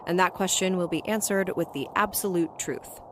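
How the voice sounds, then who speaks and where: A woman's voice: lowish voice, steady tone, moderate pace, noisy background, lightly acted. An actress playing a tough, sardonic young woman who talks bluntly and narrates her own story in an audio drama. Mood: troubled. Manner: wry, blunt, foul-mouthed. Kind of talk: audio drama dialogue and first-person narration. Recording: music bed under the voice.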